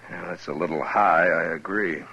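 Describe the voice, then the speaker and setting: An actor playing a fair-minded, plain-spoken American marshal in a 1950s radio western. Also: male voice, low voice, normal speed, creaky, bright, forceful tone, husky, full character voice. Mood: firm, reasonable. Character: gruff, fair-minded frontier marshal in an old West town. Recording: muffled vintage radio recording.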